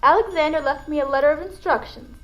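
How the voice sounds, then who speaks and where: A woman acts out a poised lady in an audio drama with a high, light voice. She is cool and composed, speaking formally with an arch edge. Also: quick pace, fairly bright tone, highs cut off, soft background music. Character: poised, cool woman guiding relatives through a will reading.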